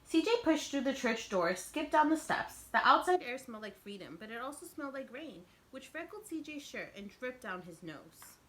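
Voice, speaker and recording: High-voiced woman reading to children. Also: moderate pace, few pauses. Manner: expressive, explains simply to children. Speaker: teacher reading a picture book to children. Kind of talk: read-aloud storytelling with asides to young listeners.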